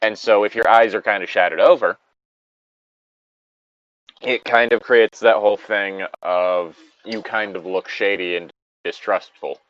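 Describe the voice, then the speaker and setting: A man's voice: lowish voice, steady tone, unhurried, pauses often, a bit bright, no room sound, muffled recording. A man giving casual, rambling and blunt commentary on a story, with frequent swearing. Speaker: podcast host reading and commenting on a story.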